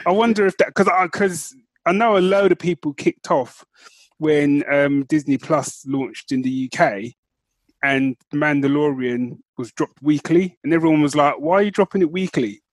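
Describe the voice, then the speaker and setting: A man's voice: on the high side, moderate pace, no echo. A man co-hosting a podcast in casual, geeky banter. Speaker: podcast co-host.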